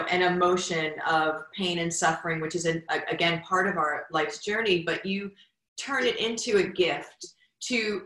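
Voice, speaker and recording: A woman's voice: fairly low pitch, sharp tone. A middle-aged woman hosting an interview podcast, effusive and full of praise, with restarts and laughs. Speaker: podcast host. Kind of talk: casual interview chat. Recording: echoey room.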